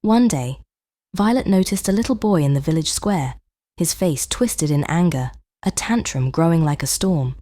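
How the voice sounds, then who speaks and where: A woman with a fairly low voice narrates a children's storybook. Her reading is gentle and measured, with expressive phrasing, recorded dry and close. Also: no echo. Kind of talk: scripted bedtime storybook narration.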